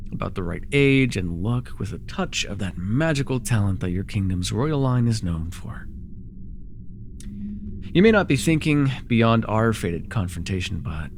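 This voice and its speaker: A man playing a sly, haughty, taunting dark-magic villain ruler in a fantasy audio roleplay, with a mocking, drawling delivery. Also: mid-range voice, vocal fry, a little hiss or hum. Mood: wry, scheming.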